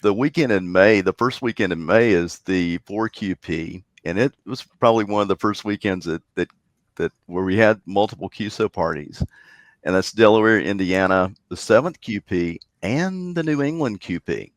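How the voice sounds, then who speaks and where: A man's voice: on the low side, animated, normal speed, no room sound. A man guest on a podcast, talking casually with many fillers and restarts. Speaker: guest, contest organizer.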